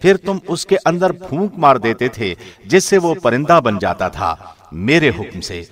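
Man, medium pitch, formal scripted scripture reading, measured and solemn. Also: very animated, fairly bright tone. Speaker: translation reader, radio presenter.

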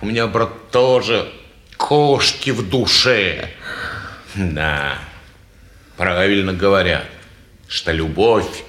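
A man's voice: deep voice, takes it slowly, pauses often, fairly bright tone. A man playing a tipsy, gloomy, opinionated man who argues stubbornly in a 1950s Russian stage drama. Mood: gloomy, brooding. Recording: roomy 1950s radio theatre recording.

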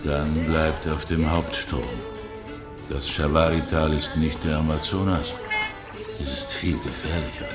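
An actor playing a huge, heavy arms dealer, blunt and ominous, in a radio play. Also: male voice, rumbling low, steady tone, measured pace. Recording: loud music behind the voice.

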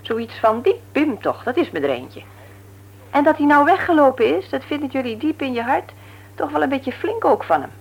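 An actress in a Dutch radio play, playing a brisk, teasing, no-nonsense nurse married to a man she likes to mock. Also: high voice, sing-song, gravelly, heavily acted. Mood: firm, critical. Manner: brisk, mocking, matter-of-fact. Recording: noisy old radio recording with hum.

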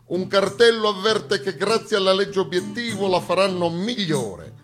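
A man delivers a theatrical comic monologue with emphatic, ironic phrasing and dramatic pauses. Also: sits high, lively intonation, over music. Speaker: stage actor performing a satirical monologue.

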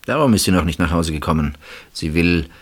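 An actor in a German radio play, playing a controlled, deliberate and dismissive husband who gives little away. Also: male voice, low voice, lively intonation. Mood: placating. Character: cool, evasive husband of a missing woman.

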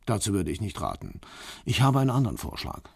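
An actor playing a shrewd, businesslike Russian man in a radio crime drama, speaking in a formal, measured way like someone giving a briefing. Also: lowish voice, huge pitch swings, gravelly, breathy edge, no room sound, studio quality, heavily acted. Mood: cautioning, courteous.

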